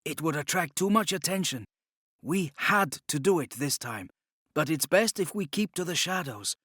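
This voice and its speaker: A man's voice: a little high, expressive, normal speed, a bit bright, slightly rough, dry recording, clean studio sound, pitched above the performer's own voice. A man voicing a cautious, brave older youth in an audiobook, speaking seriously and urgently. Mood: cautioning. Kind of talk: audiobook character dialogue.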